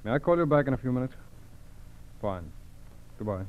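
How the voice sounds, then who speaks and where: An actor playing a businesslike, level-headed FBI agent with a clipped, matter-of-fact manner in 1940s radio drama. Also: male voice, medium pitch, sing-song, brisk, close to an everyday voice. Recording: dull, hissy vintage radio recording.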